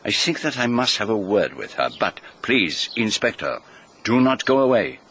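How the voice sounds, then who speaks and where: A shrewd, self-regarding foreign detective in a radio mystery, with a fairly low voice. He questions people formally and deliberately, with great courtesy. Some noise sits behind the voice. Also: very animated, fairly bright tone. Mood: intrigued, self-assured. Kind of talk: British radio mystery drama acting.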